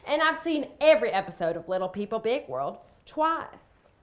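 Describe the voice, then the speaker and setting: A woman playing a boastful, eccentric psychic in a comedy monologue, delivering a mock sales pitch with dramatic emphasis. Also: very animated. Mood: insistent. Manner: dramatic, emphatic, drawn-out catchphrases. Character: boastful, eccentric female storefront psychic pitching her powers.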